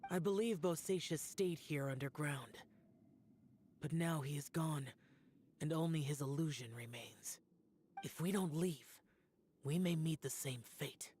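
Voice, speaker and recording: A man's voice: on the high side, unhurried, long pauses, darkish tone, a little hiss or hum, lightly acted. Man playing a stoic, burdened young immortal warrior. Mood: mournful. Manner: solemn, terse, formal. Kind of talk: video game voice acting. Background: game music.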